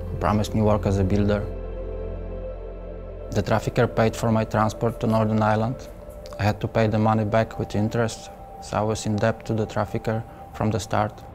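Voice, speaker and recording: Flat, measured man from Poland. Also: on the low side. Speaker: trafficking survivor telling his story. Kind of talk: calm first-person voice-over testimony. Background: soft background music.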